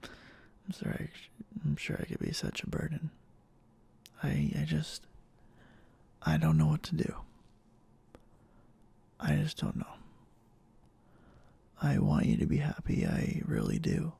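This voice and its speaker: A man playing a clingy, obsessive, lovestruck boyfriend in an intimate ASMR roleplay, speaking in a halting, tender way with a breathy voice that often drops near a whisper. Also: a little high, wildly swooping pitch, warm tone, heavily acted. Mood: anxious, apologetic, pleading. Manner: halting, pleading, tender endearments.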